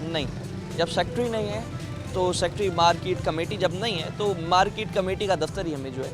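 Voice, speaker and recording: A man reporting the news and then interviewing a guest as anchor, earnest and drawn-out, pausing often on fillers. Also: high voice, music in the background. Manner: earnest, drawn-out delivery with many filler pauses.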